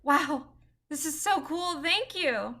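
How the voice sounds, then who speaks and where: A woman's voice: high voice, expressive, slow pace, bright, forceful tone, voice pushed up, exaggerated voice. A woman playing a starstruck, modest young female film director in a staged play reading, gushing and a little hesitant. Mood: starstruck, eager.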